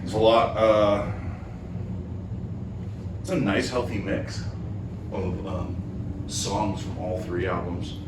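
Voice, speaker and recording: A man hosting a casual podcast chat, relaxed and rambling, with plenty of fillers and easy laughs, recorded in a living room with a football game on. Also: deep voice, slow pace, long pauses. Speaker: podcast host and band member. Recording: roomy, distant mic, noisy background.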